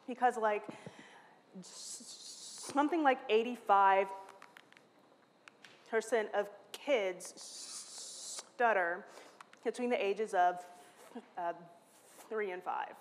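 A woman's voice: medium pitch, slow, pauses often, slight background noise. A woman in her thirties who stutters, giving a candid, self-mocking talk from a lectern on stage. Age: 30s. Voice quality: stutters, with repeated sounds and restarts. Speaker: conference speaker. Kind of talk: lightning talk. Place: large hall, standing at a lectern on stage. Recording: long reverb from a large hall.

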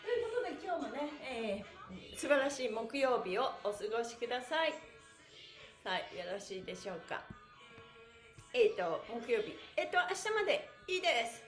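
Brief: middle-aged woman stretch instructor, high bright pitch, bubbly chanting counts, echoey room with faint music. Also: sing-song, moderate pace. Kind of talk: live-streamed stretch class. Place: small indoor studio room.

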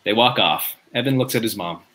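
A man's voice: on the low side, fairly quick, sharp tone. A man reading out stage directions as scripted narration in a sitcom table read, recorded at home on a video call.

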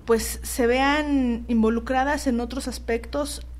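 A woman with a Mexican accent giving earnest interview answers in formal wording, pausing to search for words. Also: high voice, fairly even intonation. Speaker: political activist guest.

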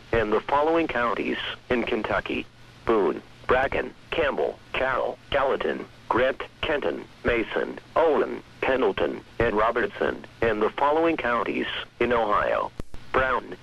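Synthetic male weather radio announcer, American, mid-pitched, impersonal scripted read, dull broadcast sound. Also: gravelly, a bit bright, husky. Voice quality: synthetic text-to-speech voice. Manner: measured, impersonal. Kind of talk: scripted weather bulletin reading.

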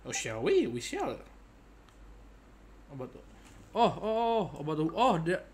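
A man's voice: high voice, wildly swooping pitch, a little slow, slight background noise. A young man doing casual, playful gameplay commentary, often muttering and repeating phrases to himself. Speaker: game streamer. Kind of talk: casual let's play commentary. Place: indoor room at a gaming desk. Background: game music, keyboard clicks.

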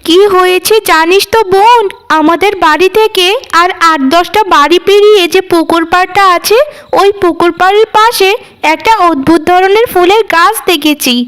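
A woman voicing a scheming eldest sister in a cartoon, a falsely sweet young woman who coaxes with drawn-out phrases. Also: very high voice, a bit bright, pitched above the performer's own voice. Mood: coaxing, falsely sweet.

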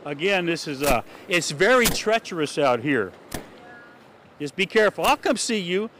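A man's voice: on the high side, very animated, moderate pace, sharp tone. An older man doing a rambling, conversational live news report from a flooded street at night, speaking into a handheld mic. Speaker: field reporter. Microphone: handheld station mic. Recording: faint street noise outdoors.

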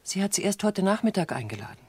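Radio drama: devoted daughter under suspicion. Husky, anxious, defensive, pleading. Dry recording. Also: female voice, medium pitch, big pitch swings, fast, darkish tone, no room sound. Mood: troubled. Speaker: doctor's suspected patient's daughter.

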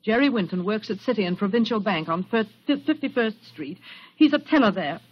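An actress playing an earnest, desperate young woman in 1940s radio style, with a husky voice. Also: mid-range voice, lively intonation, brisk. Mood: earnest, pressing. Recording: muffled old radio broadcast.

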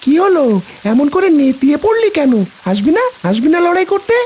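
A woman's voice: sits high, sing-song, fairly quick, mellow, a little hiss or hum. A woman voicing a brave, defiant small boy ghost in a cartoon fairy tale.

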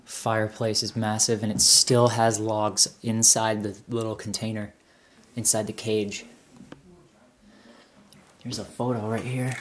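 A man's voice: on the low side, little pitch movement, measured pace, pauses often, slight background noise. A man in his twenties doing casual vlog narration as he explores indoors, musing and wondering aloud. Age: 20s. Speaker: urban explorer vlogger. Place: indoors, rooms of an abandoned house. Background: music.

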